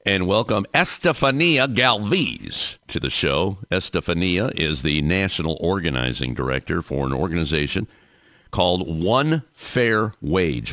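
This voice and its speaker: Deep lively male talk show host. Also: dry recording, studio quality. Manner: lively announcer delivery, reads sponsor plugs. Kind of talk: union radio talk show interview.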